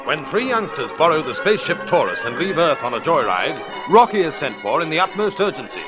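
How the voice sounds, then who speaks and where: A man's voice: on the high side, big pitch swings, a little fast, loud music behind the voice. A man narrating an adventure serial in a dramatic, urgent old-time radio announcer style.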